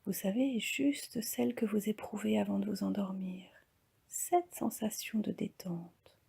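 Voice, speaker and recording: Slow, breathy woman guiding relaxation. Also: medium pitch. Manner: calm, soft, breathy, often near-whispered delivery. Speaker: yoga nidra instructor. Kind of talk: guided relaxation meditation.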